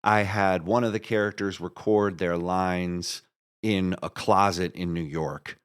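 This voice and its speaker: A man's voice: low voice, fairly even intonation, a little slow, smooth. A man speaking as an interview guest in a casual chat, explaining his creative work with an enthusiastic, storytelling manner.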